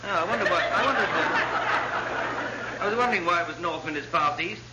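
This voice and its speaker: An actor playing a vague, dithering, cheerfully hapless naval officer in classic radio comedy. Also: male voice, sits high, a little fast, lightly acted. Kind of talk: classic radio sitcom acting. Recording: distant, echoey, muffled, very noisy old radio.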